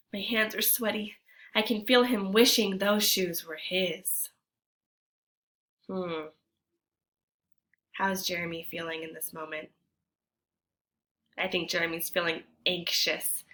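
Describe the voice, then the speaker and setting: A woman teacher reading a storybook aloud to children, expressively, pausing to ask her young listeners guiding questions. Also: fairly low pitch, bright, forceful tone.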